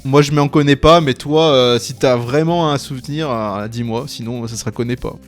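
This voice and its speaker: A man's voice: mid-range voice, moderate pace, soft background music. A young man hosting a casual, playful, slangy chat that ranks childhood cartoons. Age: young adult. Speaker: YouTube host.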